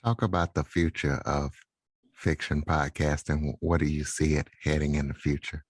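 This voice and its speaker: Male podcast interviewer, bottom-register voice with very little pitch movement, asking questions conversationally. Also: steady tone, moderate pace, slightly rough, no echo, clean studio sound.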